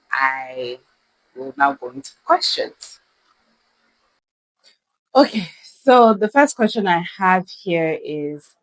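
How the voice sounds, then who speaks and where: A woman's voice: lowish voice, poor audio. Chatty young Nigerian woman vlogging. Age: 20s. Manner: chatty and reflective, frequent laughs and ums. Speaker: lifestyle vlogger. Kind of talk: casual talk to camera.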